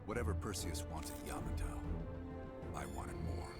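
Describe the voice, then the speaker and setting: A man's voice: low voice, animated, normal speed. An actor playing a commanding, cool and menacing male covert team leader in a game cutscene, terse and authoritative. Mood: steely. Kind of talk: video game cutscene acting. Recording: loud cinematic music behind.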